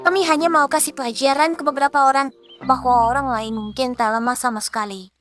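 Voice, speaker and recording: A woman voicing a proud, stubborn, excitable young girl in an animated children's cartoon dub. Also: high-pitched, quick pace, faint music behind. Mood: determined.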